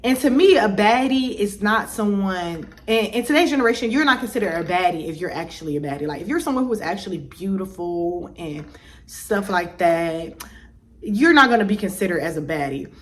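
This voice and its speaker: A woman's voice: medium pitch, animated, a little fast. A woman, giving her opinions in a casual vlog and talking in a loose, chatty way with many fillers and restarts. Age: 20s. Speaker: vlogger.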